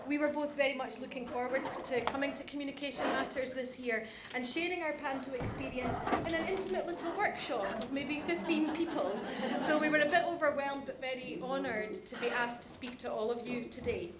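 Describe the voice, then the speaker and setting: A woman's voice: sits high, fairly quick, few pauses, over a noisy crowd. A woman giving an informal, good-humoured conference presentation. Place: large conference room. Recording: roomy, clearly noisy conference hall recording.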